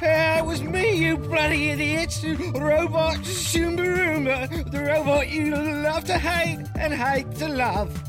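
A woman's voice: sits high, steady tone, takes it slowly. A woman voicing a brash, cheeky robot in a space comedy radio play, with swaggering delivery. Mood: taunting. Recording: loud music behind the voice.

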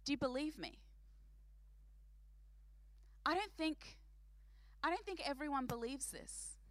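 Forceful high-voiced Australian woman preacher. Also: lively intonation, unhurried, no echo. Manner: emphatic, repeating phrases for effect; big, projecting voice. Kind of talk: sermon to a church congregation. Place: church service.

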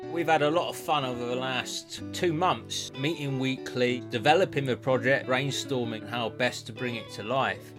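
Mid-pitched man over guitar music. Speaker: podcast producer. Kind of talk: promotional interview explanation. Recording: clear music behind the voice. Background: plucked guitar music.

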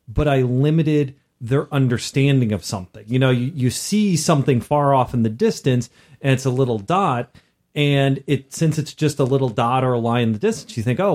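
A man's voice: mid-range voice, moderate pace, a little mellow. A man co-hosting a casual chat about tabletop gaming, talking as a game master. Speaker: podcast co-host and game master.

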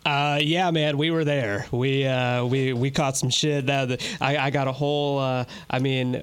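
A man playing a tabletop game, answering in a relaxed way with short replies. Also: mid-range voice, unhurried, clear, resonant tone. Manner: laid-back, laughing, short replies. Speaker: player. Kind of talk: casual tabletop game play.

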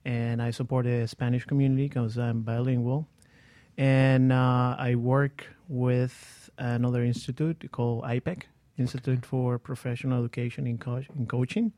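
Earnest guy talking about his coaching on a radio show, pretty flat delivery, dry mic. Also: medium pitch, normal speed, no room sound. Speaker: guest, life coach. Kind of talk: radio interview.

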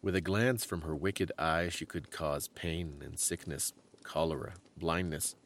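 A man voicing a grave old Russian grandmother in a storybook, giving ominous, deliberate warnings. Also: a bass voice, fairly even intonation, slight background noise.

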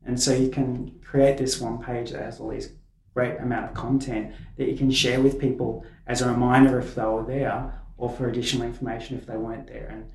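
A man's voice: mid-range voice, level tone, brisk, darkish tone. A man chatting casually and anecdotally on a podcast, rambling and restarting his sentences. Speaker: podcast speaker, event organiser. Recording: echoey room.

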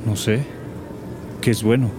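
Timid, halting man over street noise, an actor. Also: on the low side, steady tone, natural voice. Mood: nervous, hesitant. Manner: halting, meek, unfinished sentences. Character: timid, desperate male customer, hesitant and easily cowed. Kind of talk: radio drama acting. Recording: clear outdoor street noise behind.

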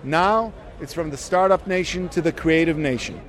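A man's voice: a little high, normal speed. A man from the United States, a conference organizer, speaking emphatically in a public speech. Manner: emphatic, repeating phrases.

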